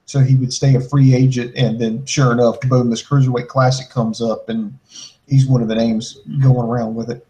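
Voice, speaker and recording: A man's voice: mid-range voice, little pitch movement, moderate pace, warm tone, low-quality recording. Man co-hosting a casual wrestling podcast. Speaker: podcast co-host. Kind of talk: casual wrestling review chat, answering listener questions.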